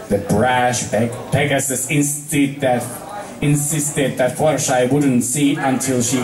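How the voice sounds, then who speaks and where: An amateur reader narrating a story from a script, halting and rereading words. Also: medium pitch, a little mellow. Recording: echoey room, distant mic.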